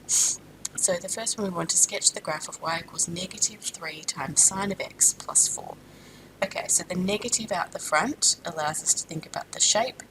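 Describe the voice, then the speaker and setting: A woman's voice: on the low side, gravelly, noisy background. A woman from Australia teaching a maths lesson with a blocked-up nose from a sinus infection, explaining steadily with frequent ums and self-corrections. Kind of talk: recorded classroom lesson.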